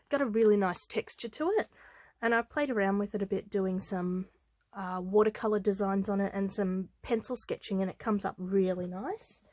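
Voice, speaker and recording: A woman's voice: mid-range voice, darkish tone, no room sound. A woman, a little congested from a head cold, casually showing craft supplies with plenty of ums and uhs. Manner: chatty, unhurried, with frequent ums and uhs. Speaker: craft vlogger. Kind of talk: casual show-and-tell vlog.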